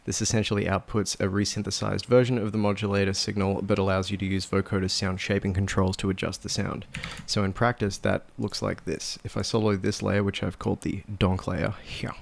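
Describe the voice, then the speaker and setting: A man narrating a casual software tutorial in a low-key, matter-of-fact way, like a sound designer explaining his process. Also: level tone, brisk, gravelly, few pauses, a little hiss or hum.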